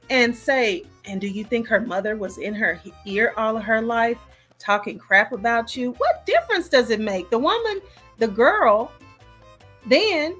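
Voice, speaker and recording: A woman's voice: a little high, fairly bright tone. Woman giving emphatic casual commentary, music behind. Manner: emphatic, disapproving, repeats points for emphasis. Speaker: host reacting to a recorded call.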